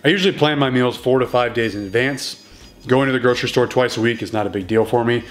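A man in his thirties casually walking through home meals in his kitchen, relaxed and matter-of-fact. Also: mid-range voice, fast. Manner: relaxed and matter-of-fact, plain step-by-step talk. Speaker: strength coach cooking at home. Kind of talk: casual cooking walkthrough.

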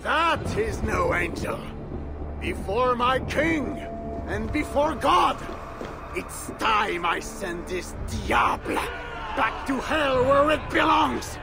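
An actor playing a zealous warrior bishop who speaks slowly and shouts his threats. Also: extremely high pitch, animated, music in the background, heavily acted. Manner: slow, gravelly, shouting. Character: zealous warrior bishop, older man, fanatic. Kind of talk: video game cutscene acting.

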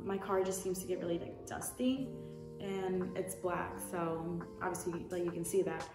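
A woman's voice: fairly low pitch, steady tone, normal speed, creaky. A young woman chatting casually and informally to camera as a lifestyle YouTuber, rambling a little through a product haul. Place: indoor room. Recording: roomy echo, distant mic, music behind.

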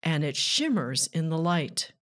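A woman reading a rhyming children's book aloud with measured, deliberate phrasing. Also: low voice, big pitch swings, fairly bright tone. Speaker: children's author and narrator.

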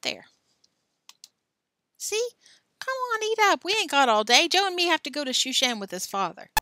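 A woman's voice: sits high, very animated, moderate pace, bright, forceful tone, pitched above the performer's own voice, full character voice. A woman voicing a pushy, impatient schoolboy schemer who goads his friends, in a storybook reading. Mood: goading. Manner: impatient, goading.

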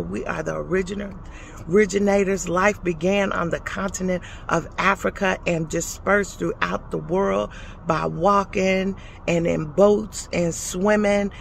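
A woman's voice: fairly low pitch, gravelly, a bit bright, slight background noise. A middle-aged woman talking into her phone in a parked car, speaking in a passionate, lyrical monologue that stretches out words for emphasis. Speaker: vlogger. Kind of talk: heartfelt spoken monologue. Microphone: phone at arm's length.

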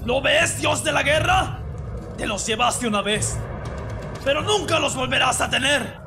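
A man acts out a tormented, defiant warrior for a video game dub. His Latin American voice is high for a man and gravelly, with slow, dramatic intensity. Music plays behind him. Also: expressive, exaggerated voice. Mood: defiant, shouting. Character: anguished, defiant adult male Spartan warrior.

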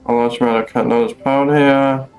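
Young guy doing laid-back gameplay commentary on stream, medium pitch, music playing behind. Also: flat delivery, a little fast. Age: young adult. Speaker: game streamer. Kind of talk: casual live gameplay commentary. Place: small room indoors at home. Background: loud game music.

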